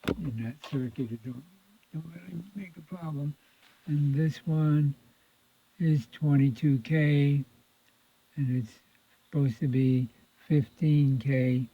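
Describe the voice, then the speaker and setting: Guy mumbling thru a repair job, kinda husky, folksy, thinking out loud, tinny lo-fi phone sound. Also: a little high, fairly even intonation, no echo. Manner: informal, folksy, halting mutter while working. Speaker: radio repair hobbyist presenting own repair video. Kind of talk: hands-on repair demonstration, thinking aloud. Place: indoors at a repair workbench. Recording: muffled phone-like audio, lo-fi.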